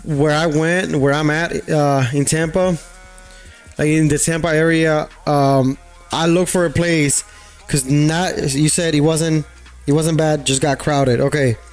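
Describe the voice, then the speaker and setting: Chatty rambling man, slightly high voice. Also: fairly even intonation, unhurried. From Puerto Rico. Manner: rambling, filler-heavy, thinks aloud. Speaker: livestreaming day trader. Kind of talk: casual livestream chat with viewers. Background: faint background music.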